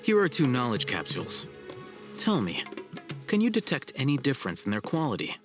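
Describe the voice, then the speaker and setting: Aloof young scholar, music behind. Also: male voice, mid-range voice, wildly swooping pitch. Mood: measured, mildly surprised. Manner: formal, measured, condescending. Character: cool, aloof young male scholar, haughty and calculating. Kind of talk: video game cutscene acting.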